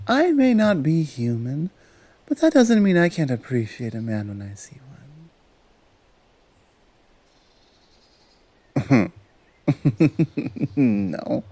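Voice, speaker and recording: A man playing a calm, teasing Valkyrie who guides souls in an intimate ASMR roleplay, soft-spoken and coaxing with halting pauses. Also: medium pitch. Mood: flirtatious, teasing.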